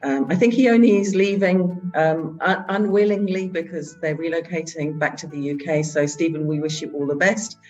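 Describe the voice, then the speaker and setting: A woman who heads a charity, presenting at a virtual annual meeting in a halting way, with frequent filler sounds. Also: low-pitched, fairly even intonation, a little mellow, lo-fi sound, soft background music. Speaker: head of a charity organisation.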